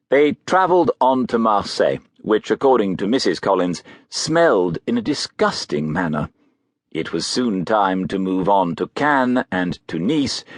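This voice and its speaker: A man narrating a biography audiobook in a measured, literary storytelling manner. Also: low voice, no echo.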